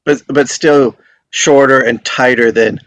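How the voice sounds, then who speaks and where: A man hosting a casual group discussion after a script reading, talking in a halting, loosely worded, appreciative way. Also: medium pitch, steady tone, fairly bright tone, no echo, poor audio. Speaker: table read host.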